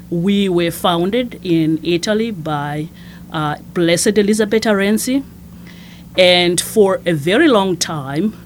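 Soft-spoken woman from Zimbabwe, a nun. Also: fairly low pitch, a little hiss or hum. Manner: soft-spoken, hesitant with many fillers and restarts. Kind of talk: conversational interview.

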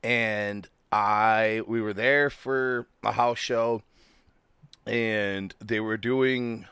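A man's voice: lowish voice, fairly even intonation, very slow, pushed and bright, clear, resonant tone, no echo, clean studio sound. A man in his thirties hosting a podcast, chatting casually, swearing freely and laughing often. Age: 30s. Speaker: podcast host. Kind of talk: casual chat.

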